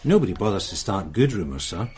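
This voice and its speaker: An actor playing a tired, methodical male police sergeant in a radio drama, speaking in a measured way. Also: deep voice, big pitch swings, a little mellow, slight background noise. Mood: weary.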